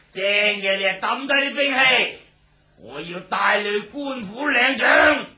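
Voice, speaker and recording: A menacing radio-drama bandit chief with a very high, piercing voice. He boasts and threatens slowly, in swaggering shouts. The sound is dull, distant and echoey. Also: heavily acted. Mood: boastful menace. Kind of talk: Cantonese radio drama acting.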